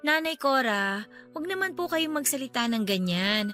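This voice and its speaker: A very high, bright young girl's voice, earnest and emotional. She is a devoted, respectful teenager in a radio drama, with music underneath. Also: animated, normal speed. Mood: pleading, tearful. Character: devoted, pleading teenage girl caring for her sick nanny. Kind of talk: Tagalog radio drama acting.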